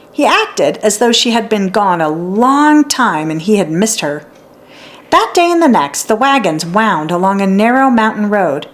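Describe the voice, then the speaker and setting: Expressive woman reading a children's storybook, lively pitch. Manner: acts out dialogue and sounds out letters. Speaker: children's book read-aloud narrator. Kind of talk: expressive storybook narration for young readers.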